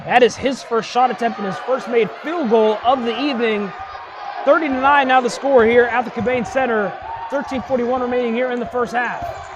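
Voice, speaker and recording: A young man giving excited, projected live radio play-by-play of a basketball game. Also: very high voice, normal speed. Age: young adult. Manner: excited, projected, often shouting calls. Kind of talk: live radio sports commentary. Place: courtside in a basketball arena. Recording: loud crowd and gym noise behind. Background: crowd, bouncing ball, gym noise.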